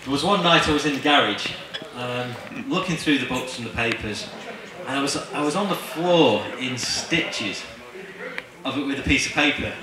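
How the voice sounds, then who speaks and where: A middle-aged man gives an informal introduction at an event. He speaks haltingly, at an ordinary pitch. The sound is very echoey and distant, with a crowd clearly audible. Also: lively intonation. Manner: hesitant, halting. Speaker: event presenter introducing a reader. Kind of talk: informal spoken introduction to an audience. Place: large marquee tent with seated audience. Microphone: handheld mic through speakers, filmed from afar.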